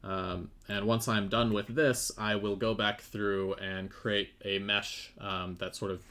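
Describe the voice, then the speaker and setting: A man's voice: on the low side, little pitch movement, slow, sharp tone, slight background noise. A man who works as a 3D modeller, explaining things in a thoughtful, hesitant way on a casual live stream. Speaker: game developer and 3D modeller.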